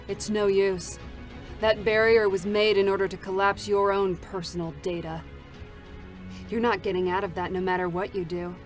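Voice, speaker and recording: A battle-hardened female android deserter, played by a woman, her voice cold, flat and bitter. She sits at a middling pitch, and faint music plays softly beneath her words. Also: normal speed, close to an everyday voice. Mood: cold, mocking. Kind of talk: live concert reading of game scenes.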